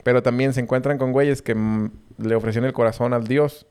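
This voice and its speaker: A young man with a Mexican accent, co-hosting a podcast in relaxed, slangy, profane banter. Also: mid-range voice, brisk, clean tone. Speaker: podcast co-host. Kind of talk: casual chat.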